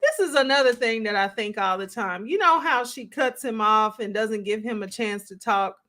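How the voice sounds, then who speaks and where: A woman's voice: on the high side, expressive, normal speed, sharp tone. A woman hosting a live stream, giving casual, conversational reaction commentary as she thinks aloud and responds to chat.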